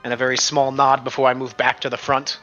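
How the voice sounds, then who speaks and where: A man with a bright, forward voice talks through his moves in a tabletop roleplaying game. His delivery is casual, sometimes speaking in character. The audio is a little dull, with music playing underneath. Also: mid-range voice, monotone, fast talker.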